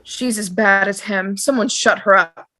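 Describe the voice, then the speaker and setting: A woman's voice: medium pitch, unhurried, bright, forceful tone, dry recording. A woman playing a stubborn, panicky, bossy wife in an amateur drama table read, insistent and scolding.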